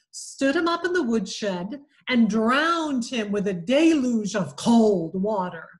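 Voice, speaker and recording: A woman with a New England accent reading a classic novel aloud, in a measured, expressive storytelling manner. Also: fairly high pitch. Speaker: community reader.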